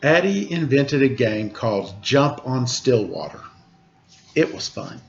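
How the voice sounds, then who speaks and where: A man's voice: medium pitch, sing-song, measured pace, gravelly, dull audio, a little hiss or hum. A man reading a story aloud as a storyteller, with informal, explanatory asides to his listeners. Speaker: storyteller reading a picture book, teacher.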